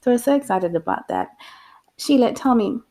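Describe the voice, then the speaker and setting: A woman's voice: a little high, huge pitch swings, normal speed, mellow. A woman hosting an interview, asking questions in an enthusiastic, encouraging way. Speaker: podcast host. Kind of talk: casual interview chat.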